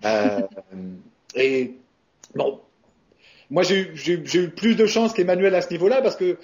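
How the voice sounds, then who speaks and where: Slightly high-voiced man, muffled recording. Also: expressive, measured pace, a little mellow. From France. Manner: hesitant, many fillers and restarts. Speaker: voice actor guest. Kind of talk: casual interview answers.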